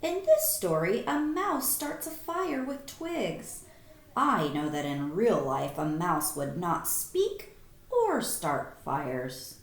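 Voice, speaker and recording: A woman reading a picture book aloud to children like a teacher, expressive and deliberate, pausing to explain. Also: fairly low pitch. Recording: roomy echo.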